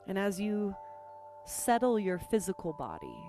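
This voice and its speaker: A woman leading a guided meditation as a teacher, speaking gently and unhurriedly with pauses between phrases. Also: medium pitch, wildly swooping pitch. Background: music.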